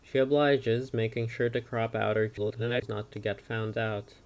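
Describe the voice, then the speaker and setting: A woman giving a dramatic scripted narration of a comic, with literary, deliberate delivery. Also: a bass voice, level tone, a little mellow.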